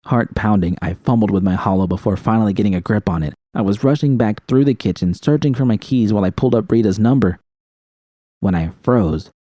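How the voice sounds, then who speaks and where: A man reading first-person fiction as an audiobook, with tense, expressive dramatic storytelling. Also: fairly low pitch, no echo, studio quality.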